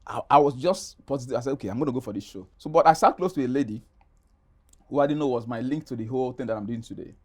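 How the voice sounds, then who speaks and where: A man's voice: medium pitch, fast, a little mellow. A middle-aged Nigerian man, an actor being interviewed, telling personal stories in an emphatic, conversational way with repeated phrases. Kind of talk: animated personal storytelling in conversation.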